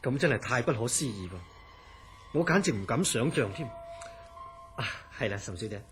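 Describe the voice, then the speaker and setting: An actor with a Hong Kong Cantonese accent playing a curious, easily astonished institute director in a radio drama, questioning with theatrical disbelief. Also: male voice, mid-range voice, lively intonation, normal speed, a bit bright, faint music behind, lightly acted. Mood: courteous. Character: curious, astonished male institute director hearing eerie story.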